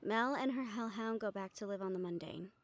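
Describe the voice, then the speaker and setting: A woman's voice: mid-range voice, fairly quick, close to an everyday voice. A woman playing a writer who fondly tells how her characters' stories end, in an intimate audio roleplay style. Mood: fond, wistful. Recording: music playing behind the voice. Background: background music.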